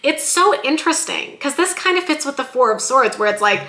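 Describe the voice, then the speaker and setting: A woman giving a weekly tarot love reading in a chatty, lively way, her voice going a little hoarse. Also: sits high, a little fast, echoey room. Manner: chatty, lively, says "like" and "you guys" often. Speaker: tarot reader.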